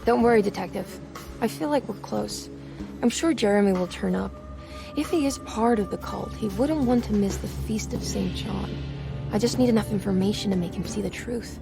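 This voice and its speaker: An actress plays a determined, worried young woman in a horror game. Her voice has a noticeable creak and sits at a middling pitch. She speaks earnestly and conspiratorially, in measured phrases, over game music. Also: close to an everyday voice. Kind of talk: dramatic video game cutscene acting. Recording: music under the voice.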